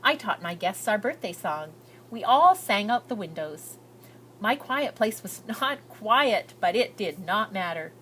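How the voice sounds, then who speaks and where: A woman's voice: medium pitch, animated, measured pace, fairly bright tone, a little hiss or hum. A woman voicing an earnest, shy, hopeful immigrant girl reading out her letters, with heartfelt, lilting storybook delivery.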